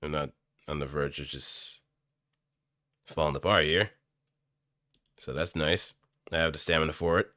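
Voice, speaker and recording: A man's voice: very deep voice, fairly even intonation, unhurried, pauses often, sharp tone, no echo. A man talking casually and informally on his own into a close studio mic, rambling a little as an amateur voice actor. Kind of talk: casual solo chat while practicing voice lines. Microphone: close studio microphone through an audio interface.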